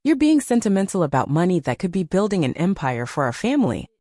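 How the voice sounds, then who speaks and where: A woman's voice: lowish voice, sing-song, fast, dark tone, no echo, clean studio sound. A woman voicing a ruthless, scheming young man in an audiobook, hard and pressuring. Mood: hardened.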